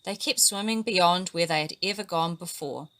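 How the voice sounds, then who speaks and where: A woman from New Zealand reading a children's story aloud with animated, lively storytelling. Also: fairly low pitch, normal speed. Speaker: storybook reader. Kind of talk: expressive children's story narration.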